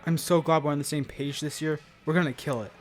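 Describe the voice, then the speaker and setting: Wisecracking, wiry young sidekick played by a man, fairly high voice, music underneath. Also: steady tone, fast talker, lightly acted. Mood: earnest. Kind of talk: audio fiction dialogue.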